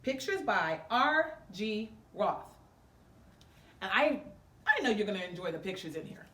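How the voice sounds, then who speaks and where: A woman reading aloud to children in an expressive, sing-song way, talking to them directly as she goes. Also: medium pitch, a little slow. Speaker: children's story-time reader. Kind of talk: interactive read-aloud to children, part sung.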